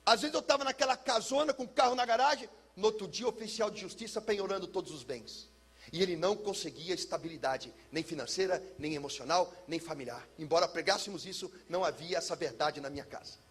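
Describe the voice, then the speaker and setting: A Brazilian man speaking as a pastor, telling a personal testimony in an emphatic, theatrical way with deliberate repetition. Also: high voice, slightly rough. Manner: emphatic, theatrical, uses pauses and repetition for effect. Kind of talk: personal testimony, dramatic storytelling to listeners.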